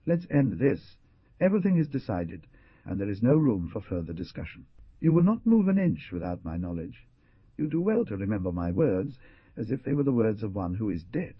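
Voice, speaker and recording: A man's voice: on the low side, big pitch swings, moderate pace, slightly rough, no echo. A man voicing a proud young man who speaks in a stern, commanding way, in a dull lo-fi recording. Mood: cold threatening. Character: cold, proud young man, grimly resolved.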